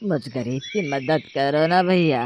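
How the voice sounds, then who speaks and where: A woman's voice: deep voice, unhurried, background noise. Woman acting a drunken bullying father. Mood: pleading. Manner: bullying and wheedling. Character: drunken, violent, wheedling father, a grown man. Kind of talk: Hindi animated moral story voice acting.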